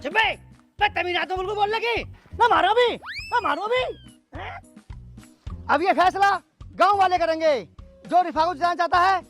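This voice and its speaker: A man's voice: very high-pitched, normal speed, pauses often, fairly bright tone. A man playing an irritable, commanding village arbiter in a comedy sketch, scolding as he talks. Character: bossy, irritable village arbiter settling a dispute. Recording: faint music behind the voice.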